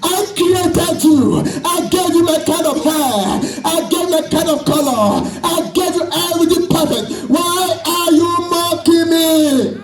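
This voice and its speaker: Man acting a wailing, high-pitched, sorrowful Jesus. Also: measured pace, hardly any pauses, over music. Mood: anguished pleading. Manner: plaintive, wailing, emphatic. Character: sorrowful, pleading Jesus lamenting over his children. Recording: echoey room.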